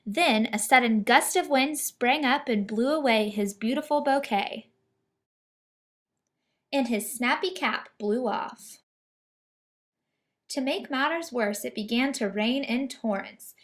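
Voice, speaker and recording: A woman narrates a children's story in a fairly high voice. She reads expressively, as a storyteller would. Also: fairly bright tone. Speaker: picture book reader. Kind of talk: children's story read aloud.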